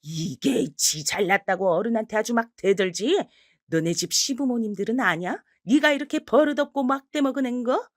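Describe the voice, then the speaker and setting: A woman voicing an older, domineering mother-in-law who scolds in a slow, contemptuous way. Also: lowish voice, steady tone, no echo, clean studio sound, lightly acted. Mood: scolding.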